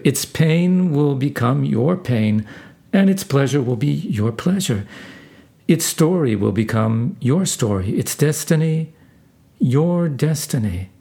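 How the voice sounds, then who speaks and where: A man's voice: mid-range voice, warm tone, breathy edge, exaggerated voice. A man as an earnest, explaining male angel.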